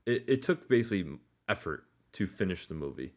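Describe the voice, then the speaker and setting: A man with a very deep voice speaks as a podcast co-host. He talks casually and conversationally, as if chatting with friends about films. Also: big pitch swings, a little fast. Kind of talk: casual chat about movies.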